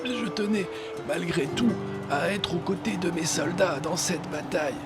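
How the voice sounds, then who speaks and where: A man's voice: high-pitched, natural voice. An actor playing a frail, ailing old king in a fantasy audio drama, speaking formally with a cough. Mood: cautious, weary. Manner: slow, formal, interrupted by coughing. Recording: voice under loud music.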